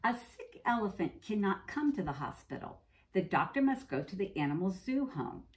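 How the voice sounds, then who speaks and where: An American woman, a middle-aged preschool teacher, reading a picture book aloud to young children and pausing to explain things simply. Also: medium pitch, sing-song, a little hiss or hum. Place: indoors, classroom.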